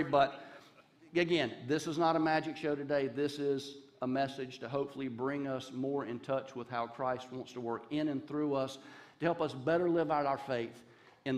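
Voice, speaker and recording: A man's voice: mid-range voice, fairly even intonation, fairly quick. A man in his fifties from Charlotte with a Southern accent, a guest pastor giving a conversational sermon on a church stage with folksy jokes and asides, speaking into a headset mic. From Charlotte, North Carolina. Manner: folksy, joking asides, gestures while pacing the stage. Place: large dark church stage.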